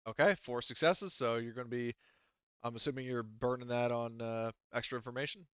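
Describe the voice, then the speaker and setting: A man's voice: mid-range voice, fairly even intonation, brisk, fairly bright tone, smooth, no room sound, dull audio. A man running a tabletop roleplaying session as game master, explaining and refereeing as he goes.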